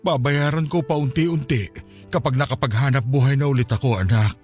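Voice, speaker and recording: A man's voice: mid-range voice, moderate pace, darkish tone, faint music behind, lightly acted. A Filipino man playing a remorseful aging father in a radio drama, pleading hesitantly and tearfully with an estranged child. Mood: desperate, remorseful.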